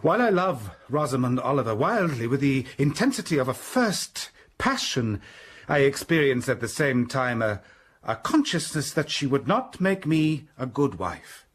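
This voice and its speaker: A stern, husky young clergyman. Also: medium pitch, big pitch swings. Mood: restrained. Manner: restrained, halting, earnest. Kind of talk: radio drama acting.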